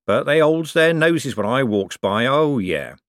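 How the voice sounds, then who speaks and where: A man's voice: mid-range voice, animated, no echo, studio quality, pitched above the performer's own voice, close to an everyday voice. A man voicing a blunt, boastful rich muck-dealer. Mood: scornful. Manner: boastful, blunt, slow and emphatic. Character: gruff rich working-class male businessman.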